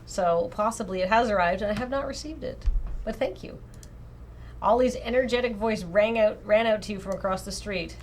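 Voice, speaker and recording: A woman reading a visual novel aloud on a livestream in an expressive, casual way, often breaking off into playful asides. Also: medium pitch, moderate pace, a little hiss or hum.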